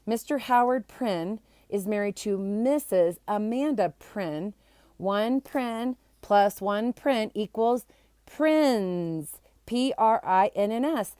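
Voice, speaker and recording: A woman's voice: mid-range voice, animated, slow pace, warm tone, smooth, no room sound. A self-assured little schoolgirl from a storybook, voiced by a woman reader, holds forth with theatrical confidence. She is bossy and impatient and declares things firmly, in a close, dry sound. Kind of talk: children's storybook character reading.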